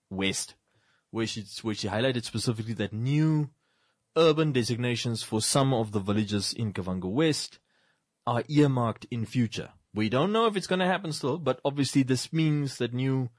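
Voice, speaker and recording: A man's voice: mid-range voice, no echo, clean studio sound. A man co-hosting a casual talk show, laying out his argument point by point in a rising list before easing off. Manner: lists points in building sequences, then drops to a quiet wrap-up. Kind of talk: casual panel discussion.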